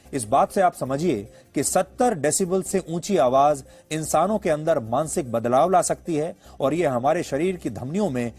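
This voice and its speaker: A man's voice: on the high side, normal speed, few pauses, darkish tone, husky. A man presenting as a news anchor, delivering an editorial commentary in an emphatic, rhetorical, explanatory manner.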